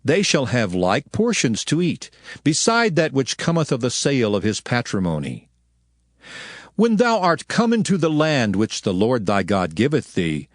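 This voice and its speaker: An actor voicing a solemn prophet proclaiming ancient law in a declamatory, emphatic dramatized scripture reading. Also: male voice, medium pitch, no echo, studio quality. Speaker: scripture narrator.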